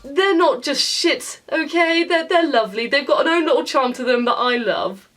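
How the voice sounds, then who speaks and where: A woman's voice: sits high, normal speed, a bit bright, faint music behind. A British woman hosting a casual, comedic video game review in a chatty, jokey way with playful slang.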